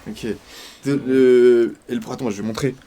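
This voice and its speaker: A man's voice: mid-range voice, steady tone, moderate pace, dark tone. A young man hosting a casual live stream, talking eagerly and repeating himself as he chats with his guests. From northern Mauritania. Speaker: stream host.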